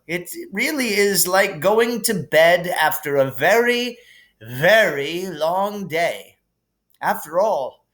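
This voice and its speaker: Man voicing slow, lilting kindly old wizard, pitched up. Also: heavily acted. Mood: wise, gentle. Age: older. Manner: unhurried, gently formal, drawn-out phrasing. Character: kindly, whimsical, calm elderly male wizard headmaster. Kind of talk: audiobook character reading.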